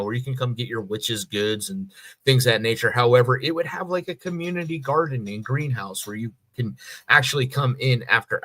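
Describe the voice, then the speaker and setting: A man co-hosts a podcast in casual conversation. His voice is on the low side yet bright and forceful. He speaks plainly, loosely listing things with frequent you-knows. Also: no room sound. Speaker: podcast co-host. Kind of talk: casual chat.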